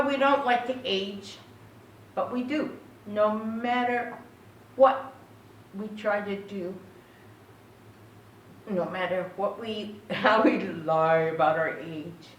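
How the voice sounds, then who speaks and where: A woman giving an informal Buddhist talk as a minister, speaking haltingly and pausing often mid-phrase. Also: mid-range voice. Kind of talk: informal Dharma talk with short chanting. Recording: echoey, distant mic, faint background noise.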